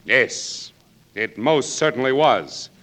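Stern, pompous gentleman. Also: medium pitch, animated, slow. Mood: indignant, imperious. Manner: pompous, scolding. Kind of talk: 1940s radio acting. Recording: 1940s radio broadcast recording.

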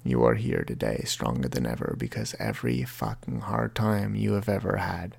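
Young male podcast host, gravelly, low-pitched, earnest and confessional, reads his own writing. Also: level tone, moderate pace, darkish tone. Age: 20s. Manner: earnest, confessional, heavy language. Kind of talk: reflective monologue reading from his book.

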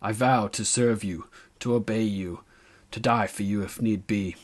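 A man's voice: fairly low pitch, steady tone, measured pace. A man playing a grave, devoted knight. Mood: solemn devotion. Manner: earnest, grave. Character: devoted older male knight, grave, pleading. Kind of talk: audiobook character acting.

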